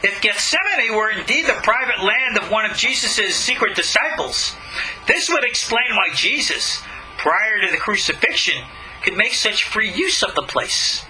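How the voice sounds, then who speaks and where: A man reading aloud a religious lecture in an emphatic, dramatic, deliberately phrased way. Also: high voice, big pitch swings, sharp tone, a little hiss or hum, low-quality recording. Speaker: rabbi giving a religious lecture.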